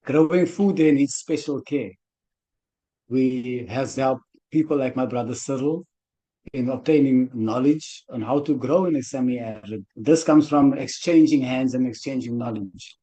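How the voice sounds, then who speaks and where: Mellow-voiced South African man. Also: mid-range voice, a little slow, rough recording. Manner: earnest, loose conversational speaking. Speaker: conference organizer. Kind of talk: online conference talk. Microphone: computer mic on a video call.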